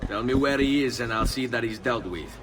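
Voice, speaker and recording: An actor voicing a young, vengeful Italian assassin in a video game, with an Italian accent and a terse, controlled, solemn manner. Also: male voice, mid-range voice, brisk, background noise, close to an everyday voice. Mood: determined. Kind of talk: video game dramatic acting. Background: music.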